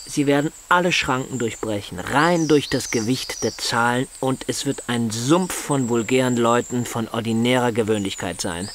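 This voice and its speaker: An actor in a radio drama playing a proud, bitter, paralysed upper-class husband, speaking in an articulate, sardonic, condescending way. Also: male voice, medium pitch, moderate pace, hardly any pauses, a bit bright, lightly acted. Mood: scornful, gloomy. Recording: chirping insect noise behind the voice.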